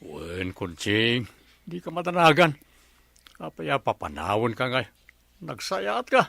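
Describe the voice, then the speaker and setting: Genial coaxing household boss, male actor, comedy radio drama: lively pitch, creaky edge, close dry sound. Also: slow, dry recording, full character voice. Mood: coaxing, flattering. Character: genial, flirtatious master of the house.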